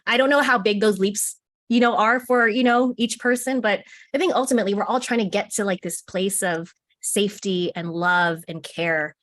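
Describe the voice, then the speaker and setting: A woman's voice: medium pitch, normal speed, fairly bright tone, no echo. An American woman talking as a guest in an interview, earnest and encouraging, laughing often as she speaks. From United States. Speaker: interview guest, contributing author. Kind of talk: casual interview conversation.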